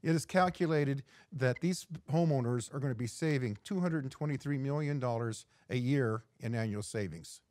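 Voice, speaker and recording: A middle-aged man giving a prepared keynote as an executive director, measured and reading from slides with occasional restarts. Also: mid-range voice, darkish tone, no echo. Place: indoor studio in front of a backdrop.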